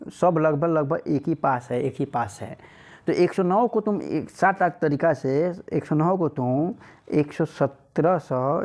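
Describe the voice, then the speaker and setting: A man's voice: fairly high pitch, darkish tone. A man teaching an informal exam lesson, repeating phrases and putting rhetorical questions to students. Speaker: maths exam coach.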